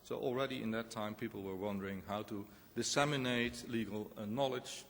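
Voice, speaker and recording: Gravelly Dutch-accented man lecturing. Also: on the low side, fairly quick. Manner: relaxed, hesitant, many uh fillers. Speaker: conference speaker, professor. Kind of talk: conference presentation. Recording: echoey conference hall.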